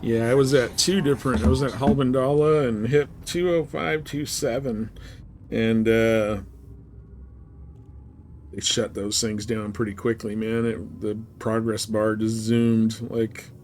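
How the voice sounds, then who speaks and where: A man's voice: medium pitch, fairly even intonation, measured pace, darkish tone, a little hiss or hum. A man chatting casually as he streams his play of a video game. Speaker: live streamer playing a space trading game. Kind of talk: casual gameplay chat.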